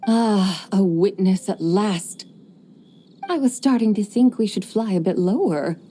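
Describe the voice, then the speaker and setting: A woman's voice: medium pitch, measured pace, mellow, lightly acted. A woman voicing an ancient, playful female horse spirit who likes to show off, speaking in archaic, poetic phrasing with a wry, amused tone.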